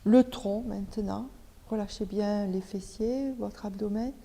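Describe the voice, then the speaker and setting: A woman leading a guided relaxation as a yoga teacher, giving step-by-step instructions with pauses between them. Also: medium pitch, steady tone, vocal fry, warm tone. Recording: long reverberant hall echo.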